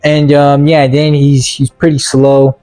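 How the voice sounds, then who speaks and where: Halting, sarcastic man over music. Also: on the high side, completely flat delivery, warm tone. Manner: halting, stammering delivery with repeated words; sarcastic, mock-earnest. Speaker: video reviewer. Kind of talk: casual, rambling movie review commentary.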